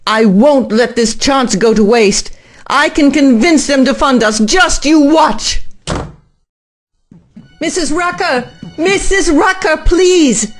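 A woman's voice: sits high, takes it slowly, soft background music. A woman playing a middle-aged, earnest and driven scientist in an audio drama, speaking formally and insistently. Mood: urgent, pleading.

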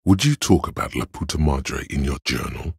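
Very deep, gravelly voice of cold logic. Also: male voice, expressive, no echo, clean studio sound, exaggerated voice. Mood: dry, reasoning. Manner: measured, deliberate, analytical. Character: cold inner voice of logic in a detective's mind. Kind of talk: video game narration.

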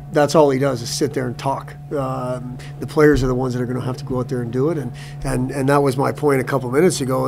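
Halting middle-aged coach at a press conference. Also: male voice, medium pitch, noisy background. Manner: halting, repeats words, many restarts. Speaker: hockey coach. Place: press room before a sponsor backdrop.

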